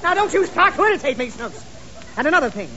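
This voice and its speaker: A man's voice: very high voice, very animated, fast. A man playing a flustered, exasperated father in a 1930s radio comedy, fussy and stammering, anxious to please. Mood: fussy, instructing. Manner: fussy, stammering, easily irritated. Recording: dull, noisy 1930s radio recording.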